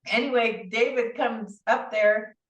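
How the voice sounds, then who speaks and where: A woman guest telling her story in a rambling, animated way, with many asides and restarts, heard through a remote call. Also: on the high side, a little slow. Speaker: interview guest. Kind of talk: casual conversational storytelling. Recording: distant, roomy, dull-sounding audio.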